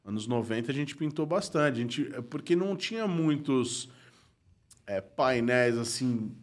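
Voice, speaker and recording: A man's voice: medium pitch, expressive, moderate pace. A man, a guest telling stories in casual chat, slangy, laughing often and dropping to a mutter. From Brazil. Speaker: guest graffiti artist.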